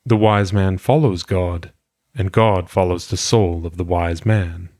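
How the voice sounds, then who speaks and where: A man reading philosophical maxims aloud in a solemn audiobook style, with measured, deliberate phrasing. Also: sits low, fairly even intonation, a little mellow, no room sound, studio quality. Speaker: reader.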